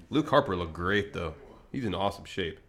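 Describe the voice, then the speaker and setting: Congested low-voiced man chatting on stream. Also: animated, moderate pace, creaky, fairly bright tone, soft background music. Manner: easygoing, reads chat aloud. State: congested, slight cough. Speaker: podcast co-host. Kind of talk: casual livestream chat.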